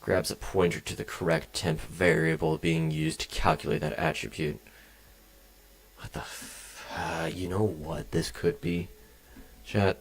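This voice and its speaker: A young man talks to his chat from his home desk as he codes. His voice sits low with a gravelly edge, but it swings lively up and down as he rambles from one tangent to the next. He swears offhandedly into a desk mic. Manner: rambling, jumps between tangents, swears casually. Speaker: game developer streaming. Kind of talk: casual live-stream chat while coding.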